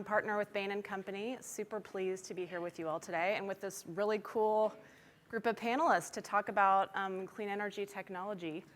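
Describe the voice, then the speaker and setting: A woman's voice: medium pitch, a bit bright, slight background noise. A woman moderating a conference panel on stage, upbeat and organized, with frequent "um"s.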